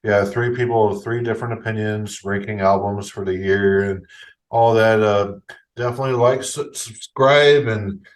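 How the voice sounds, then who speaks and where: A man's voice: fairly low pitch, steady tone, normal speed. A man with a slightly nasal voice hosting a casual podcast and wrapping up the episode. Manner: easygoing, casual, wraps up the show. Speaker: podcast host.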